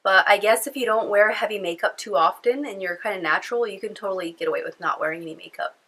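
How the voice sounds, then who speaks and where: A woman's voice: mid-range voice, quick pace, bright, forceful tone, husky. A young woman with a cold-stuffed, nasal voice, chatting casually to camera from home in a rambling vlog full of fillers. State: congested from a cold. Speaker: beauty vlogger. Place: at home indoors.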